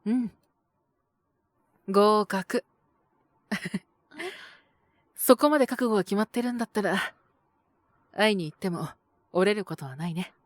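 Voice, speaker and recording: A woman voicing a calm, protective male owner who talks in a gentle, measured, softly teasing way in an audio drama. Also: mid-range voice, no room sound, clean studio sound, lightly acted. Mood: approving. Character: calm, protective adult male bar owner mentoring a youth. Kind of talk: Japanese situational audio drama acting.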